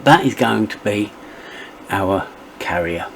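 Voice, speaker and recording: Low-pitched man presenting a tutorial. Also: expressive, slow, vocal fry, a little hiss or hum, rough recording. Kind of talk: step-by-step software tutorial narration.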